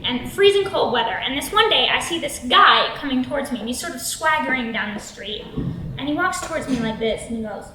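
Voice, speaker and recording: A woman's voice: high-pitched, expressive, fairly quick, pushed and bright. A young woman playing a chatty stand-up comedian, speaking conversationally in an echoey room. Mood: animated, amused. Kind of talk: conversational storytelling and dialogue. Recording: echoey room, faint noise.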